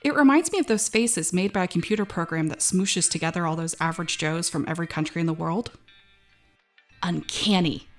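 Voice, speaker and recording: An uneasy young female cashier, played by a woman, narrates an audio drama in a slightly low voice. She is wary and wry, telling it conversationally as if confiding, with music present. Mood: wary fascination. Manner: halting, wary, conversational first-person storytelling.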